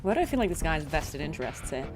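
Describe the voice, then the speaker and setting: A woman's voice: sits low, sing-song, very fast. A woman giving casual live commentary on a game, thinking aloud as she reacts and muses. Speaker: game streamer. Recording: game music behind the voice. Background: game music.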